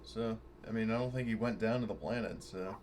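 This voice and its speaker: A man's voice: on the low side, steady tone, a little fast, a little mellow. A man playing an online tabletop game, talking casually with the group. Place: online voice call. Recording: noticeable background noise on voice call.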